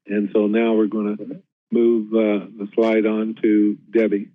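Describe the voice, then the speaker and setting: A man's voice: lowish voice, completely flat delivery, measured pace, no echo. A man presenting informally in a webinar. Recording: muffled, phone-like narrow-band line.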